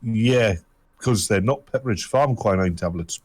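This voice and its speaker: A man co-hosts a relaxed stream and adds crude jokes to the banter. He delivers them in a plain, everyday voice and often chuckles along. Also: fairly low pitch, unhurried, husky. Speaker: co-host. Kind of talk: casual joking banter.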